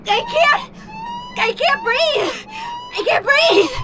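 Tough, animated space commander. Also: female voice, extremely high pitch, slow pace, raised voice, very noisy, exaggerated voice. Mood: gasping, desperate. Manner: authoritative, blunt, intense. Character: tough, commanding, fiercely loyal female Mars expedition commander. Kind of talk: science-fiction audio drama acting.

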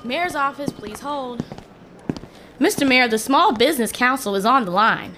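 Woman acting a brisk polite secretary, high voice, noisy recording. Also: expressive. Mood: polite, businesslike. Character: polite, brisk female mayor's office secretary. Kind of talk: scripted audio drama acting.

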